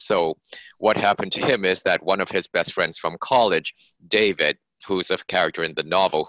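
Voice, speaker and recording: A man's voice: low-pitched, pushed and bright, no echo, rough recording. A man being interviewed as an author, explaining his fiction conversationally in long, run-on sentences.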